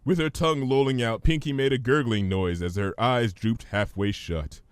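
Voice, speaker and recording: A man reading fan fiction aloud as expressive, scripted story narration. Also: mid-range voice, moderate pace, smooth, no echo.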